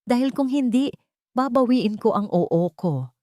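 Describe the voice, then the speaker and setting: Romantic lead: soft-hearted young woman, voiced by a woman narrator, tender, lightly teasing, dry close recording. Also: on the high side, expressive, unhurried, no room sound, pitched above the performer's own voice. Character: soft-hearted young woman accepting her beloved's proposal.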